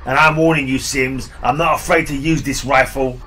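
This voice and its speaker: A man putting on angry male neighbors who shout threats. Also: mid-range voice, steady tone, normal speed. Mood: threatening.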